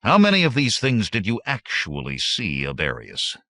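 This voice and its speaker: A man's voice: on the low side, huge pitch swings, moderate pace, sharp tone, no echo, pitched above the performer's own voice, heavily acted. A man voicing a confident, shrewd barbarian warrior in an audiobook, speaking assertively. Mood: skeptical.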